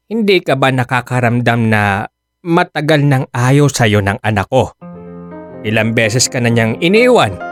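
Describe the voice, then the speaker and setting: A man with a Filipino accent playing a stern middle-aged father in a radio drama, frank and measured as he admonishes. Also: mid-range voice, no echo, studio quality. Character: blunt, stern Filipino father of a grown son. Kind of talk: Tagalog radio drama acting.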